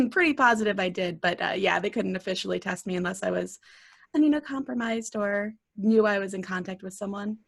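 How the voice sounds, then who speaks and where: A woman's voice: mid-range voice, brisk, vocal fry. A woman chatting casually as a voice cast guest on a live video call. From Rochester, New York area. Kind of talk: casual chat.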